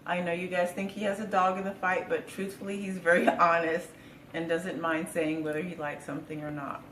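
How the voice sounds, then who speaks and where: A woman giving a relaxed, chatty recipe demonstration at home, talking with plenty of fillers. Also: fairly low pitch, brisk, roomy sound, far from the mic, a little hiss or hum. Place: home kitchen.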